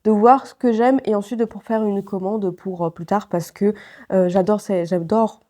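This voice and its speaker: A woman's voice: mid-range voice, steady tone, normal speed, dark tone. A woman with a head cold and a stuffy, nasal voice, chatting casually to camera in a vlog, with many fillers and restarts. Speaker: vlogger.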